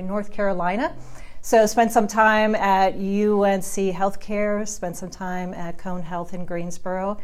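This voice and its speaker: Female hospital executive, middle-aged, slightly low voice, smooth steady delivery. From Ohio. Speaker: hospital president. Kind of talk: interview self-introduction.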